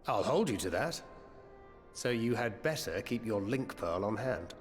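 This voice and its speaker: A man's voice: fairly low pitch, expressive, a little slow, faint music behind. A man playing a dry, familiar comrade in a fantasy video game. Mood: wry. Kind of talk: video game cutscene acting.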